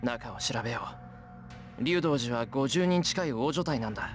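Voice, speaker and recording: A teenage boy hero from a visual novel, acted by a man, with music behind him. He is earnest, impulsive and blunt. His speech is quick and swings widely in pitch. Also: expressive, natural voice. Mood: puzzled, wary. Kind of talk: Japanese visual novel voice acting.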